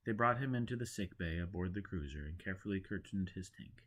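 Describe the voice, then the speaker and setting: A man's voice: sits low, animated, fast talker, fairly bright tone. A man narrating a scripted story dramatically as an audiobook reader.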